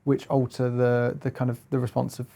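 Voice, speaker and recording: A man giving a casual hands-on demonstration as a gear reviewer, explaining in a halting, hesitant way. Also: medium pitch, completely flat delivery, vocal fry, mellow.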